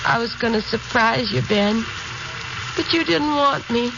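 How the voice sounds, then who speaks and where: An actress playing a lonely, bitter older wife turned arsonist in a 1950s radio drama. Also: female voice, fairly high pitch, unhurried. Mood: bitter, wounded. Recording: noisy, muffled 1950s radio recording.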